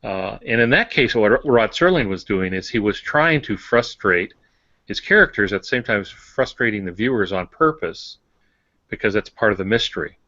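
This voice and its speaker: A man's voice: lowish voice, lively intonation, moderate pace, pushed and bright, no echo, muffled recording. An older American man co-hosting a writing podcast, casually explaining with examples and asides. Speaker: podcast co-host on fiction writing. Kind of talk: casual instructive discussion.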